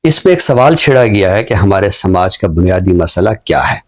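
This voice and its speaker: Low-voiced Pakistani man, measured and scholarly. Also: very animated. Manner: measured, formal, scholarly, with pauses mid-sentence. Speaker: literary talk show guest and book reviewer. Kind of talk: unscripted book commentary and poetry recitation.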